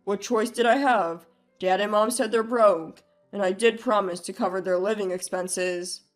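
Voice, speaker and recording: A woman's voice: mid-range voice, moderate pace, faint music behind, pitched below the performer's own voice, lightly acted. A woman voicing a selfish, domineering husband in a narrated family drama, curt, scolding and condescending. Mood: dismissive. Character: domineering, dismissive, selfish husband, adult man. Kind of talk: acted dialogue in a narrated family drama story.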